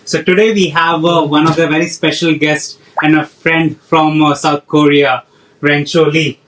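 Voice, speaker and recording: A man hosting a relaxed podcast interview, slightly high-pitched and very flat in delivery. He hesitates often and fills pauses with "uh". He sounds set back from the microphone. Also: far from the mic, rough recording. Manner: hesitant, many fillers. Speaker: podcast host.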